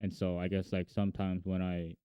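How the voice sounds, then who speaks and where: A man co-hosting a casual podcast, speaking softly with a lisp. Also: low voice, completely flat delivery, a little fast, clear, resonant tone, no echo. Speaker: podcast co-host.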